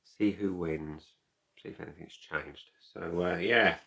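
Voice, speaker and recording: A man giving a casual product review to camera as a video host, speaking in a halting, deliberate way and repeating phrases. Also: deep voice, creaky, bright, forceful tone.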